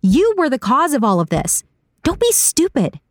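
A woman's voice: on the high side, sing-song, a little slow, pitched above the performer's own voice. A woman voicing an indignant, uneasy woman in an audiobook, with an incredulous, emphatic and challenging delivery. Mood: angry. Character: Saga, indignant hard-drinking woman, uneasy and accusing. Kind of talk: audiobook character dialogue.

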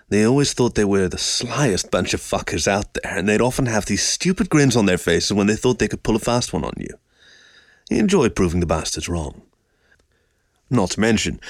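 A man's voice: lowish voice, dry recording, clean studio sound. A man reading a scripted story as an audiobook narrator.

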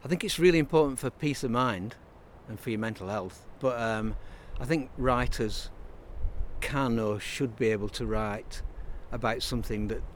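A man's voice: mid-range voice, moderate pace, a little hiss or hum. A man, talking as an interview guest in a rambling, reflective way with many restarts. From Burnley, Lancashire, England. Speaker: interview guest, writer and songwriter. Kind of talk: casual interview conversation.

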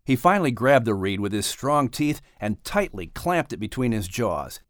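A man reading a children's story as an audiobook narrator, with expressive, dramatic delivery. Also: medium pitch, normal speed, no room sound, clean studio sound.

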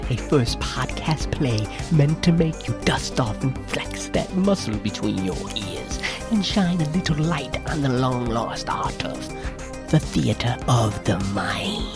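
Slow radio drama announcer over loud music. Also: medium pitch, lively intonation. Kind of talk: scripted old-time radio drama introduction.

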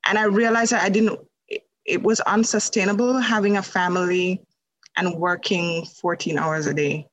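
A woman's voice: on the low side, steady tone, moderate pace, dry recording, highs cut off. A woman from Jamaica, a holistic nutrition practitioner, telling her story as a podcast guest in a conversational way, with many fillers. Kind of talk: casual interview storytelling.

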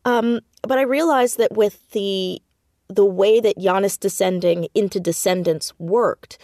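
Animated woman chatting, dry close sound. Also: medium pitch, a little slow, dry recording. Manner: animated, laughs often, self-deprecating. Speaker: podcast guest, audio drama creator and writer. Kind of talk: casual interview chat.

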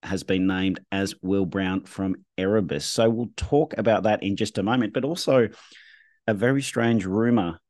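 A man with a hoarse, husky voice hosting a motorsport podcast in a relaxed, conversational way. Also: lowish voice, no room sound, clean studio sound. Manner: conversational, measured. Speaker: podcast host. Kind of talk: casual motorsport chat.

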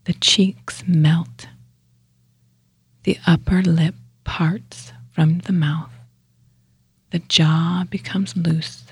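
A woman leading a guided yoga nidra meditation as a teacher, hushed and measured, with a breathy, husky voice that often nears a whisper and pauses between short phrases. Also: fairly low pitch, flat delivery, no echo.

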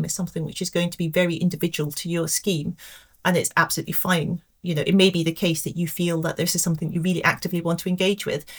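A woman in her forties with an English accent, a pensions actuary answering interview questions in a thoughtful, measured way with frequent soft hesitations. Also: lowish voice. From Scotland. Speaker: pensions actuary, podcast guest.

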